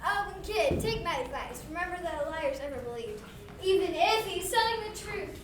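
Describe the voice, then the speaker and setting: Woman playing a high-pitched sly, coaxing wolf. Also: animated, moderate pace. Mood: smug. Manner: teasing, falsely friendly. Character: sly, coaxing wolf tricking a young shepherd. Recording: distant, echoey room with background noise.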